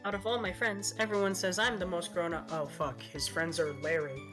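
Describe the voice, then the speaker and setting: A woman's voice: lowish voice, fairly even intonation, fairly quick, a bit bright. A woman playfully reading game dialogue aloud among friends in a casual style. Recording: music behind the voice.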